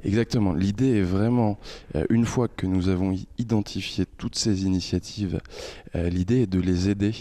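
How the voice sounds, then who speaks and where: A man interviewed as a guest, explaining his work with halting phrases and many fillers. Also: low voice, steady tone, dark tone. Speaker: guest, social innovation organizer. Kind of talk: radio interview answers.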